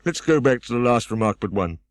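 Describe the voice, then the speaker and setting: Storybook role: a boastful, prickly egg-man, done by a man with a high, loud, swooping voice that is very dry. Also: animated, no room sound, lightly acted. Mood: grandiose. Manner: grand, boastful, quick to take offence. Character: pompous touchy egg man on a wall.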